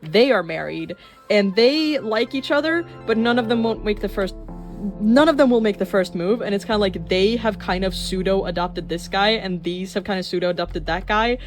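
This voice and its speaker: A woman of twenty-one chatting casually in a rambling way while showing her sketchbook, with fillers and quick laughs. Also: medium pitch. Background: soft background music.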